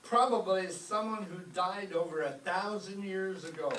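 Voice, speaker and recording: A man's voice: sits high, moderate pace, a bit bright. A man playing a melodramatic, put-upon old maestro who wisecracks sardonically in an old-time radio comedy. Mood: wry. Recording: echoey room, distant mic, faint noise.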